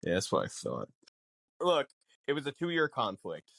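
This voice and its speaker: A man's voice: medium pitch, huge pitch swings, measured pace, vocal fry, a bit bright, no room sound, studio quality. A man guesting on a podcast, explaining film lore casually with theatrical, mock-exasperated banter.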